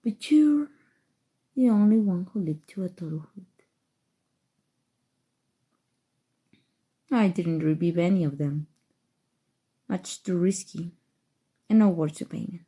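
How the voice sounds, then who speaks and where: A woman playing a calm, seductive, obsessive evil sorcerer in an intimate, coaxing ASMR roleplay, with dramatic pauses. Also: lowish voice, breathy edge. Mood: grave, measured. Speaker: voice actor. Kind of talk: ASMR roleplay, scripted acting.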